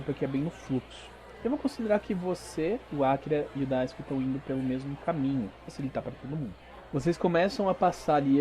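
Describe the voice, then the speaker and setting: A Brazilian man working as game master, narrating a tabletop RPG audio drama with dramatic pauses and informal asides. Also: medium pitch, dark tone. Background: background music.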